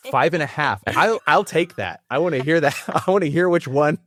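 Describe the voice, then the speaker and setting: A man's voice: on the high side, expressive, brisk, a bit bright. A man hosting a trivia podcast in a chatty, amused manner, explaining rules at length. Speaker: quiz host. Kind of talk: casual game-show hosting.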